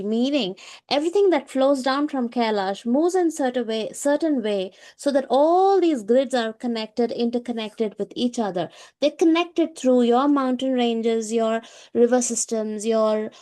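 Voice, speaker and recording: A woman's voice: a little high, darkish tone. A woman with an Indian accent explaining spiritual ideas in conversation, repeating phrases for emphasis and checking in with her listener.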